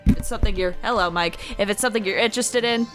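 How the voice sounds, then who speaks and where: A woman's voice: medium pitch, racing. A playful woman chatting casually as a co-host of a video game let's play. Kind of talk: casual gaming commentary. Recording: game music behind. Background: video game music.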